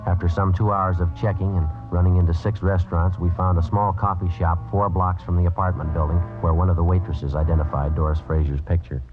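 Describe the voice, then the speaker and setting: An actor playing a matter-of-fact American police detective in an old radio crime drama, terse, deadpan and businesslike. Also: male voice, low-pitched, a little fast, hardly any pauses, music in the background, lightly acted. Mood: deadpan, factual. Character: male police detective, clipped, matter-of-fact, methodical narrator. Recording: tinny old radio recording.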